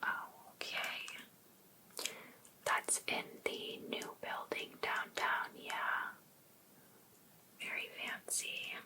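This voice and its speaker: A woman playing an overbearing, obsessive female life coach in an ASMR roleplay, softly asking attentive questions with sweet encouragement. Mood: attentive, encouraging. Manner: soft, unhurried, attentive questioning. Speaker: ASMR performer.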